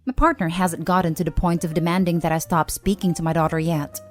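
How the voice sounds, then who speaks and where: A woman narrator reading online posts and comments aloud in a steady, even delivery. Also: fairly low pitch, fast talker. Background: faint background music.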